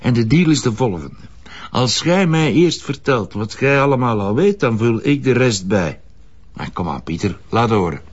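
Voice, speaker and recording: Smug, secretive Flemish colonel. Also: male voice, mid-range voice, expressive, moderate pace, slightly rough, dull audio. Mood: teasing. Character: smug, secretive male intelligence colonel, slyly condescending. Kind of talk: Flemish crime drama acting.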